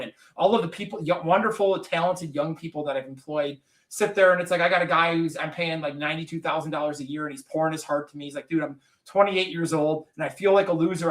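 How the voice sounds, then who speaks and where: A man in his forties speaks in a candid podcast conversation, earnest and rambling, often restarting his sentences. His voice sits fairly high for a man. It carries a roomy echo, as if he is set back from the microphone. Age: 40s. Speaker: business owner. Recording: roomy echo, distant from mic.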